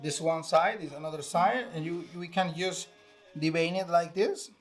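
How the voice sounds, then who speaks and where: A man's voice: on the high side, moderate pace. A man from Venezuela with a Venezuelan Spanish accent, a chef explaining a cooking recipe step by step in English. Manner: explains step by step, many fillers. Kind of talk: casual cooking demonstration.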